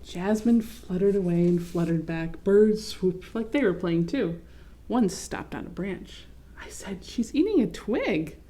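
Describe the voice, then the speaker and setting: A woman reading a children's picture book aloud as host, expressive, with explanatory asides. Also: lowish voice, unhurried, slight background noise.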